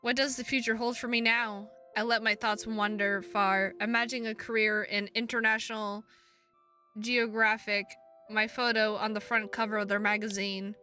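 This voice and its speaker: Goofy gamer girl streaming, really bright highish voice, reads story bits out loud n chats, music playing behind. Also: level tone, moderate pace. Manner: playful, goofy, exclamatory asides. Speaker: streamer hosting a visual novel playthrough. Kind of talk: reading game text aloud with casual chat to viewers.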